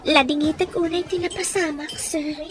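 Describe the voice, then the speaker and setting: A woman's voice: high voice, fairly even intonation, moderate pace, music in the background, natural voice. An actress with a Filipino accent playing a young woman in an emotional drama, hot-tempered but remorseful and wounded in love. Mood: apologetic, wistful. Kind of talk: emotional audio drama acting.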